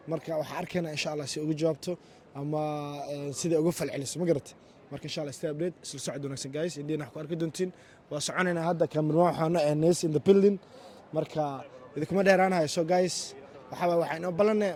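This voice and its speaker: A young man with a Somali accent runs a street interview vlog outdoors in a city centre, recorded on a handheld camera. He talks very fast in a slightly raised voice, chatty and quick, jumping between two languages. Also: on the high side, dark tone, slight background noise.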